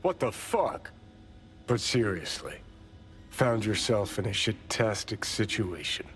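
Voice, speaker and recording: An actor playing a jaded, foul-mouthed rebel ex-soldier in a video game, talking bluntly and sardonically. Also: male voice, fairly low pitch, lively intonation, slow, noisy background. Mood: sardonic. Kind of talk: cinematic video game acting.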